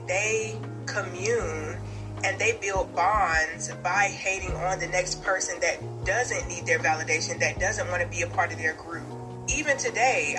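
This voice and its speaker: A woman with a slightly low voice gives a blunt, casual opinion to the camera. She drives her points home by repeating them. Clear music runs under her voice. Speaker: video creator.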